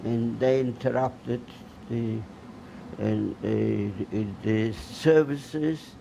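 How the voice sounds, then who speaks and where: An older man from Poland, first language Polish, giving oral history testimony in a halting way with many restarts. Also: medium pitch, gravelly, warm tone, slight background noise, rough recording. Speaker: Holocaust survivor giving testimony.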